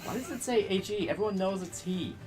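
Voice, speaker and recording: A man's voice: sits high, fast talker, music in the background. A man co-hosting a game stream, trading laid-back, wry banter. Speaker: stream co-host. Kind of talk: casual game stream chat.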